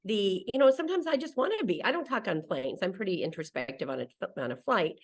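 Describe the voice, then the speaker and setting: A woman in her sixties with a mid-pitched voice, speaking native English as a guest in a conversation. She tells anecdotes in a casual, wandering way, breaking off and restarting as she goes. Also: animated, quick pace. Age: 60s. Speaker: interview guest, solo traveler.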